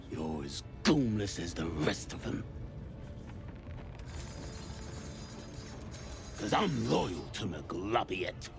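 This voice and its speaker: A man's voice: fairly low pitch, exaggerated voice. A man voicing a surly, scornful goblin zealot in a video game, with a British accent and coarse, sneering grumbles. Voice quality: gravelly. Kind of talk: video game character acting. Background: music.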